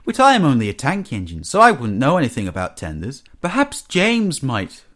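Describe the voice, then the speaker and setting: A man's voice: mid-range voice, huge pitch swings, a little fast, fairly bright tone. A man voicing a cheeky, sly little male tank engine in a storybook, with a teasing, mock-innocent manner.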